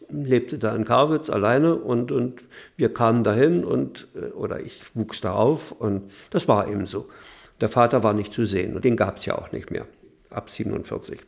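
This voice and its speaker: Brief: older man, hesitant recollection, gravelly low voice. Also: a little mellow. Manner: hesitant, halting with fillers. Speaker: interviewee recalling childhood. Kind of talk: archival interview recollection.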